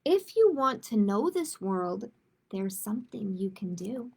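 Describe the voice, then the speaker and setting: A woman speaking as a children's storytime host, in a measured manner suited to picture books and simple chat with families. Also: mid-range voice, sing-song, creaky, darkish tone, slightly rough. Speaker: children's librarian hosting storytime. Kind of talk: picture book read-aloud, then casual announcements.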